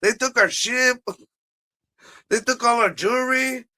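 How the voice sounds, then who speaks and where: An American man co-hosting a casual show, joking crudely and ranting in animated bursts. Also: extremely high pitch, unhurried. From United States. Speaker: podcast co-host. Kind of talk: casual banter.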